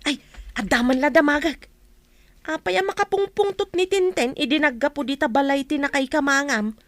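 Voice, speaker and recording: A woman acting as a gossiping village neighbour with a very high voice, recorded dry and close. She chats, exclaims and pries in the manner of an Ilocano radio comedy. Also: moderate pace, no room sound, lightly acted. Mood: prying. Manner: chatty, exclaiming, probing.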